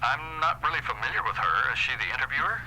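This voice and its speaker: A smooth corporate boss with a very bright, sharp-edged voice. He talks down to people in a falsely friendly tone. A faint hiss sits under the audio drama recording. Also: mid-range voice, animated, fast, low-quality recording. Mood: patronizing. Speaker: employer.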